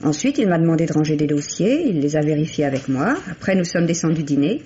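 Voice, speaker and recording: Composed young secretary, muffled recording. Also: female voice, sits low, expressive, quick pace, dark tone. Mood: guarded. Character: composed, reserved young secretary, coolly candid witness. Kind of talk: French radio mystery play acting. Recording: muffled, noisy old radio recording.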